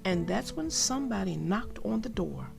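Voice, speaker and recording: Female children's storyteller: very animated, creaky at times, casual and direct with listeners, faint music behind. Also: mid-range voice, moderate pace. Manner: emphatic, directly addresses young listeners. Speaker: storybook narrator and host. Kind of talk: expressive read-aloud and casual commentary for children.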